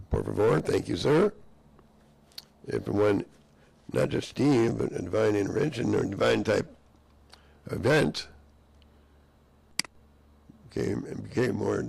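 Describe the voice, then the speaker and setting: A man with a hoarse, gravelly voice that sits low and crackles into fry. He rambles in a loose monologue, slipping into mock-legal phrasing, and the recording is dry and close with no room sound. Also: darkish tone, no room sound. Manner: rambling, mock-courtroom phrasing, drifting sentences.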